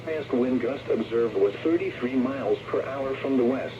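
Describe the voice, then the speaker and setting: A man's voice: medium pitch, moderate pace, highs cut off. A man's voice reading a scripted automated weather forecast over a radio speaker in a clipped, formal, robotic manner. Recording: distant radio playback, clear background noise.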